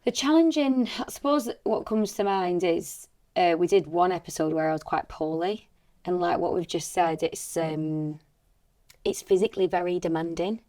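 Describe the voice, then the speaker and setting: Slightly husky, lower-pitched woman chatting. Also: moderate pace, warm tone. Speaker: voice actress on a podcast. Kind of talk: casual reflective conversation.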